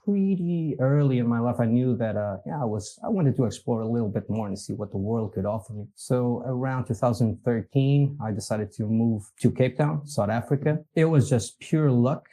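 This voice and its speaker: Portuguese-accented man, very dark voice. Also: mid-range voice, normal speed, hardly any pauses. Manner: easygoing, meandering, chuckles at himself. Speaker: podcast guest, company executive. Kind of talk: casual interview conversation.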